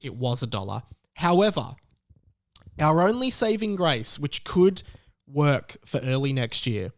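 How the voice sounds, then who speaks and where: A man in his late twenties with an Australian accent, hosting a casual solo podcast in halting phrases, stretching out key words for emphasis. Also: medium pitch, no echo, studio quality. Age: late 20s. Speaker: podcast host.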